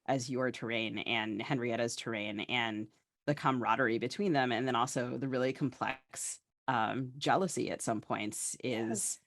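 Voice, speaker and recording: Man, mid-range pitch, dry recording, podcast interviewer, discursive, appreciative, conversational. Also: steady tone, moderate pace, a bit bright, dry recording. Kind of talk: literary interview, conversational questions.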